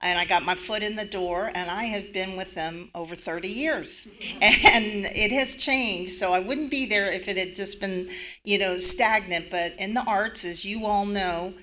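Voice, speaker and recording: A woman's voice: mid-range voice, unhurried, sharp tone, poor audio. A woman in her fifties from Washington, D.C., introducing herself on a community panel in a reflective way, with many ums. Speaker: panelist, arts center manager.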